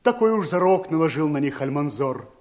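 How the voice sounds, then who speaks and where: Pirate's henchman, male actor: servile, crafty, high-pitched, formal radio fairy-tale speech. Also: animated, a little fast, darkish tone, lightly acted. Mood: servile, sly. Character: sly, servile pirate henchman, no longer young.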